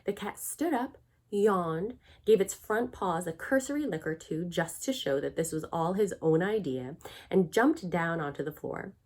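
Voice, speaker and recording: A middle-aged woman narrating a children's book aloud in an expressive, clearly phrased storytelling manner. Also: fairly low pitch, unhurried. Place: small furnished room at home.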